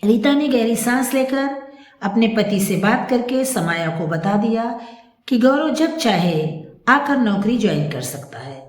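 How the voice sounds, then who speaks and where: Woman, storyteller, higher-pitched voice, expressive dramatic narration, echoey room. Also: a little slow.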